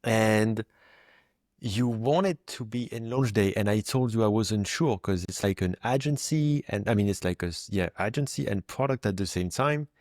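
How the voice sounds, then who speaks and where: A man's voice: fairly low pitch, moderate pace, a little mellow, no room sound, clean studio sound. A man hosting a podcast, chatting casually and rambling with fillers. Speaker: podcast host. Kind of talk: casual interview chat.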